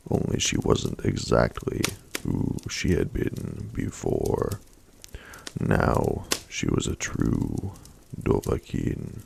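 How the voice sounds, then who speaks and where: Deep gravelly soft-spoken man. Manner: slow, soft-spoken, mostly unvoiced whispery delivery. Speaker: ASMR storyteller. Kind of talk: soft-spoken ASMR story reading.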